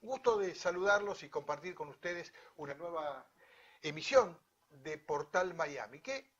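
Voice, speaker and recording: Husky Argentine man hosting an interview show. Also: on the high side, lively intonation, a little slow, fairly bright tone. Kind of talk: broadcast greeting and interview. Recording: dull, lo-fi audio.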